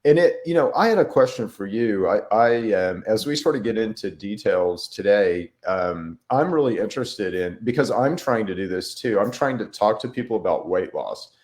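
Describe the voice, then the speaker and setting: A man hosting a health podcast, speaking in a halting, casual way with frequent restarts. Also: lowish voice, lively intonation, darkish tone. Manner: halting, lots of fillers and restarts. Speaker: podcast host.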